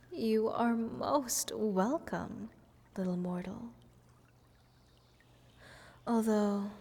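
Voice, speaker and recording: A woman's voice: mid-range voice, darkish tone, slightly rough. A woman playing a playful, selfish goddess in a fantasy audio roleplay, talking down to a little mortal in a teasing, condescending way with whispered phrases. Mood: possessive, gloating. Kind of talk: scripted fantasy audio roleplay addressed to the listener. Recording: long hall-like reverb.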